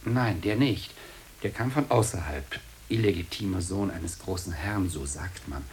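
An actor playing a gossiping old monk in a German radio drama, emphatic, then dropping into muttered asides. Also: male voice, deep voice, lively intonation, unhurried, slight background noise. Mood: knowing, grudging.

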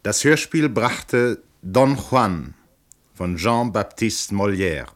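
Male announcer for radio drama credits, lowish, slow, formal and carefully enunciated. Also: animated.

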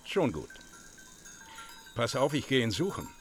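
A man's voice: mid-range voice, big pitch swings, a little slow, soft background music. An actor playing an inquisitive, brusque Sicilian man questioning a foreign shepherd in a radio crime drama. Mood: brusque, insistent.

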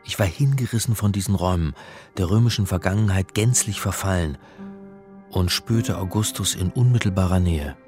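Male actor doing reflective first person narrator, radio play, low voice but expressive, bit of music. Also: lightly acted. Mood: awed, reflective. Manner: measured, literary storytelling. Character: reflective man recounting his own Roman adventure.

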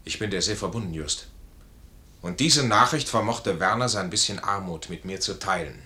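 An honourable curt army major, low sharp voice, formal, fifties radio play. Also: male voice, normal speed. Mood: proud, bitter. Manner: commanding, formal. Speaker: radio drama actor. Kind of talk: 1950s German radio play acting.

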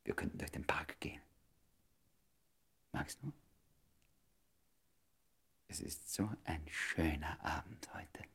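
Radio play lover, man: extremely low, very raspy, ardent and devoted, slipping into breathy murmurs. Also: animated, slow, long pauses, fairly bright tone, in a whisper, full character voice. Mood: tender, hushed. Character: ardent devoted man wooing an old love. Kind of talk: intimate German radio drama acting.

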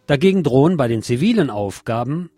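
A man's voice: mid-range voice, lively intonation, brisk. A man presenting on radio, reading scripted news and political commentary.

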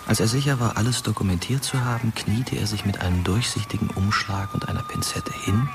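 A man's voice: lowish voice, wildly swooping pitch, brisk. An actor playing a brooding, matter-of-fact dead teenage boy who narrates in a radio drama.

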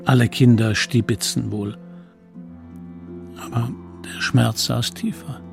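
An actor playing a middle-aged man who looks back on his childhood in a radio play, reflective, confiding and gravelly. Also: mid-range voice, fairly even intonation, slow pace, over music. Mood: pained. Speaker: narrator. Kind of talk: dramatic first-person radio play narration.

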